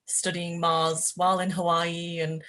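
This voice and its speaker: Low, flat-voiced woman co-host. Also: measured pace. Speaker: podcast co-host. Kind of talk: casual interview chat.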